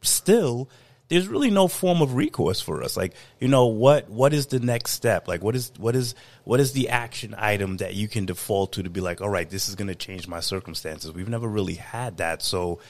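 A man with a low, gravelly voice talks in a measured, reflective way. He leans on fillers like "you know" while he weighs his points. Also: expressive. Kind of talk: casual discussion.

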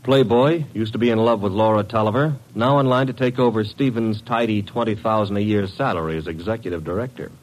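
A man's voice: lowish voice, brisk, hardly any pauses, lightly acted, low-quality recording. An actor playing a cocky, wisecracking detective's legman, wry and slangy, in an old-time radio drama. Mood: cynical, brisk.

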